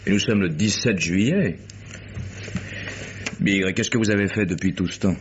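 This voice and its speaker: Guy acting a sardonic private eye in an old radio play, lowish voice, muffled hissy recording. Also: normal speed, lightly acted. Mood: businesslike. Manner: dry, sardonic, insolent. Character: wry, unflappable male private detective. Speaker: actor in a radio mystery. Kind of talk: French detective radio drama acting. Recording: dull, noisy vintage radio recording.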